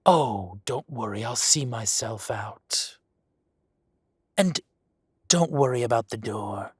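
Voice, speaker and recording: Man playing a slow, husky dragon boss. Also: medium pitch, lively intonation, no room sound, studio quality. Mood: cold threat. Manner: slow, purring, mocking menace. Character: menacing male dragon posing as a mafia boss. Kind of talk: roleplay audio drama.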